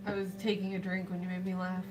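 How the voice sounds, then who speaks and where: A woman's voice: fairly low pitch, completely flat delivery, fast talker, over music. Chatty, teasing woman on stream. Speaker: stream co-host. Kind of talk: casual chat during a building stream.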